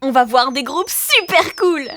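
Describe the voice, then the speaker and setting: A woman voicing an excitable, sassy young female pet dog in a children's cartoon dub. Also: high-pitched, unhurried, slight background noise. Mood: excited.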